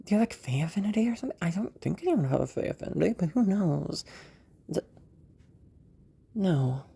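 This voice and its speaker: Casting: woman to play amused, flirty-teasing fantasy sorceress for roleplay audio, low-pitched, gravelly edge. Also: lively intonation, mellow, exaggerated voice. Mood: curious, puzzled. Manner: playful, giggly, teasing, hesitant pauses. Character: teasing, amused magical woman freeing shackled prisoners.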